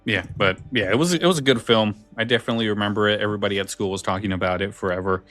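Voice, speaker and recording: A man's voice: lowish voice, racing, fairly bright tone, soft background music. A man co-hosting a podcast, chatting casually and informally about stories and films. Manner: informal, conversational. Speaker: podcast co-host.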